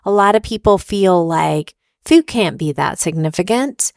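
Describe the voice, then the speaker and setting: A woman's voice: lowish voice, lively intonation, measured pace, creaky, a little mellow, no echo, clean studio sound. A woman interviewing a guest as a food and travel writer, asking thoughtful, reflective questions. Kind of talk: conversational interview.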